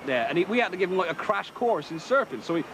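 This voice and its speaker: Hyper rambly dude, fast, bouncy, street noise. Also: male voice, sits high, big pitch swings, over a noisy crowd. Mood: rambling. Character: excitable young man in a flatshare.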